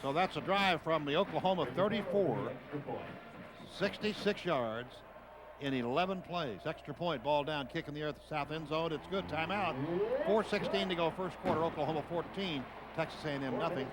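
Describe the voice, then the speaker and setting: A man's voice: a little high, moderate pace. An American man calling live football play-by-play on the radio in short, clipped phrases. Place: outdoor football stadium broadcast. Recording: noisy stadium radio broadcast.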